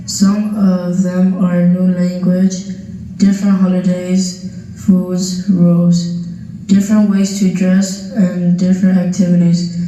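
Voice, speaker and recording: A young boy slowly reading his essay aloud, careful and even. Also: high-pitched. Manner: slow, careful, flat reading. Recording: video played through speakers, heard from far off in an echoey gym.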